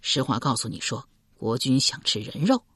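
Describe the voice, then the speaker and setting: A woman's voice: a bass voice, sing-song, normal speed, fairly bright tone, slightly rough, dry recording, studio quality, voice pushed down, heavily acted. A woman storyteller playing a ruthless, ambitious husband from ancient times, domineering and coldly persuasive. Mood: cold, calculating.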